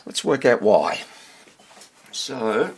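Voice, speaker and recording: Slow talking guy with a gravelly husky voice, muttering short bits while he tinkers, casual repair video. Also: mid-range voice, sing-song, slight background noise. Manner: unscripted, thinking aloud in short fragments. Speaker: hobbyist radio repairer demonstrating on video. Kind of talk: casual hands-on workbench commentary.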